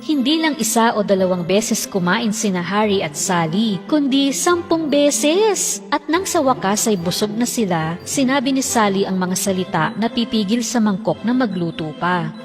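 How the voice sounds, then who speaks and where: A woman's voice: mid-range voice, expressive, moderate pace, long reverb tail, music in the background. A woman narrating a scripted children's fairy tale.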